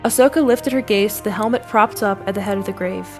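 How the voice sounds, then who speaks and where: A woman giving scripted dramatic narration for an audio drama, telling the story in a measured, solemn way. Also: medium pitch, faint music behind.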